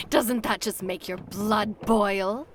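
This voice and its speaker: A woman playing a seductive, taunting female vampire in a horror audio drama, with drawn-out theatrical delivery over loud music. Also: medium pitch, wildly swooping pitch, background noise, full character voice.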